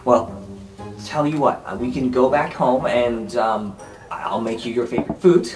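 A man's voice: mid-range voice, music in the background, natural voice. Man playing a hesitant caring guardian, echoey. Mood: reassuring. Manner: halting, apologetic, reassuring.

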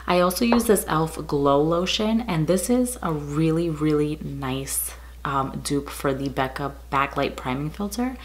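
A woman in her thirties doing a casual makeup tutorial, her voice raspy and a bit muffled from a cold, chatting through each product with frequent ums. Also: low-pitched, lively intonation, unhurried, slight background noise. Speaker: beauty vlogger. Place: bedroom with window blinds, indoors.

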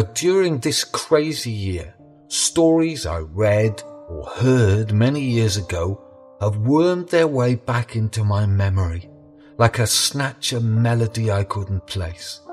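A man who tells stories, reading a scripted promo for his podcast. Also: on the low side. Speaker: storyteller promoting own podcast.

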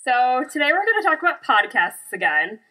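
A woman's voice: sits high, big pitch swings, a little fast, sharp tone, soft background music, rough recording. A woman co-hosting a podcast in a casual, conversational style. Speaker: podcast co-host. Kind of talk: casual chat.

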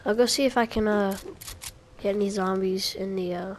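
A young woman who is sick, coughing and sniffling, giving casual gameplay commentary with hesitant pauses and many fillers. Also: mid-range voice, fairly even intonation, a little hiss or hum. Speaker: gaming YouTuber.

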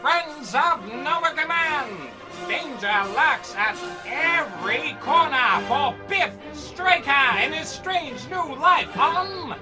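A woman's voice: sits high, lively intonation, takes it slowly, few pauses, over music, poor audio. A woman playing a melodramatic announcer, narrating an old-time radio cliffhanger serial. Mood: grandly suspenseful.

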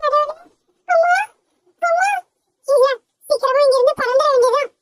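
Scared little girl chick, played by a woman, extremely high and tearful. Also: normal speed. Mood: frightened. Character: frightened disobedient little girl sparrow chick. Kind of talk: cartoon voice acting.

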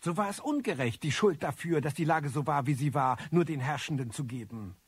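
An actor playing a disillusioned, bitter loner, narrating a radio drama in a husky, articulate voice. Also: male voice, on the high side, expressive, fairly quick, exaggerated voice. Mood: bitter reflection. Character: disillusioned, bitter male loner, obsessive computer eavesdropper.

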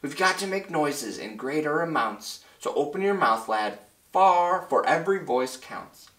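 A man's voice: fairly high pitch, expressive, measured pace, fairly bright tone, pitched above the performer's own voice. A man voicing an earnest, urgent small-town mayor in a storybook, rallying his people in a rousing, declaiming way. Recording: roomy, slightly distant mic.

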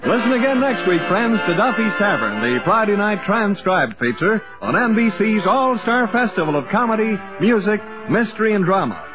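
A man's voice: high-pitched, sing-song, normal speed, hardly any pauses, music in the background. An American man doing polished, promotional announcements as a 1950s radio announcer. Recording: dull 1950s radio recording.

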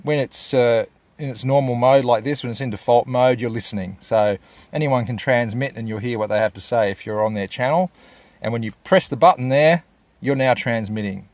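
A man's voice: mid-range voice, moderate pace, dry recording. A man, giving a casual, plain-spoken explainer as a vlogger. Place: inside a parked four-wheel drive and outdoors at a bush campsite.

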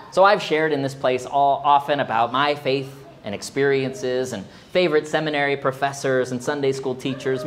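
A man in his forties, a pastor, preaching a sermon in a small church, expressive and at times emphatic. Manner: expressive, rising to emphatic high exclamations. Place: small church sanctuary, indoors.

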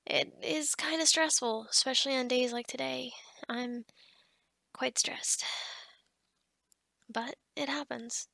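A woman performs an upbeat female office boss for an ASMR roleplay. Her voice is high and bright, recorded very dry. She chats in a bubbly, slightly scatterbrained way, hesitating as she goes and always encouraging. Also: steady tone, takes it slowly, gravelly, pauses often, no room sound, full character voice. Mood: frank, easygoing. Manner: bubbly, chatty, with giggles and hesitations. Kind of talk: ASMR roleplay acting, conversational.

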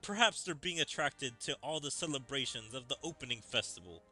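A man's voice: mid-range voice, animated, brisk, pushed and bright, faint music behind. A man voicing a dutiful, thoughtful armored warrior from a fantasy game, reading the lines aloud.

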